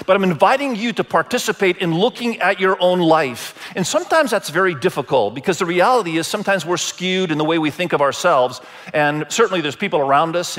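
Higher-voiced man preaching a sermon. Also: a bit bright. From Indonesia. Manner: deliberate, repeats phrases for emphasis. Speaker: pastor preaching to a church congregation.

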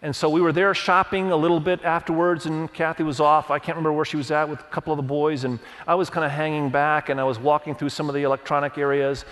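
A fairly high-voiced middle-aged man preaches in a church sanctuary, telling personal stories and reading scripture in an earnest way. Indonesian was his first language. His voice carries a long, hall-like reverb. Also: flat delivery, a bit bright. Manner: earnest storytelling, leans on verses, quick restarts. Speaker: pastor.

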